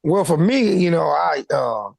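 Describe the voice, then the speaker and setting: A man with a naturally raspy voice, a guest singer talking loosely and laid back in a podcast interview, full of fillers. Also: a little high, very animated, a little slow, a little mellow, dry recording, clean studio sound. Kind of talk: casual interview chat.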